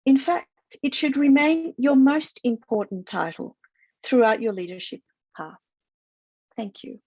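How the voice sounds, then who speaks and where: A woman's voice: sits high, lively intonation, a little slow, darkish tone, dry recording, muffled recording. Earnest woman on a dry call. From Chile. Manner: earnest, reflective, repeats words while thinking. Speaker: guest speaker and researcher. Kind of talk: conference talk and chat on a video call.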